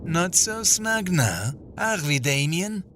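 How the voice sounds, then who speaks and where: A man voicing a haughty, menacing older villain in a fantasy game fan dub, gravelly and theatrically pompous. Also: high voice, very animated, slow, fairly bright tone, slight background noise, heavily acted. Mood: menacing, indignant.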